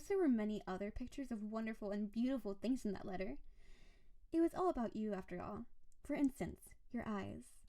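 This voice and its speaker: A woman's voice: a little high, mellow. A woman playing a doting, obsessive, possessive snow-woman spirit wife in an intimate romantic roleplay audio drama, gushing and cooing over the listener with dramatic emphasis.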